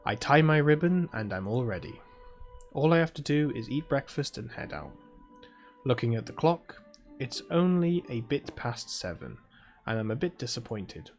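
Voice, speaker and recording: A man's voice: medium pitch, sing-song, moderate pace, soft background music. A British man reading aloud as a sleepy, proud teenage girl mage, in a drowsy, grumbling first-person voice. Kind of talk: reading a visual novel aloud.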